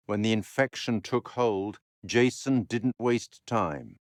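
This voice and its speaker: An actor playing an urbane, sardonic male curator in a video game, narrating others' fates with formal, wry, theatrical delivery and dramatic pauses. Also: lowish voice, no echo, clean studio sound, exaggerated voice. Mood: mournful.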